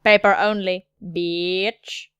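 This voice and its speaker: A woman voicing a curt, sassy male android warehouse keeper in a steady, clipped, formal manner with blunt insults. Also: mid-range voice, slow pace, clear, resonant tone, no echo, highs cut off.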